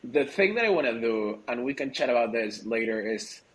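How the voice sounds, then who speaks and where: A man's voice: mid-range voice, expressive, normal speed, rough recording. Informal man from Argentina, native Spanish speaker. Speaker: podcast guest, startup growth executive. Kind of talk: casual interview conversation.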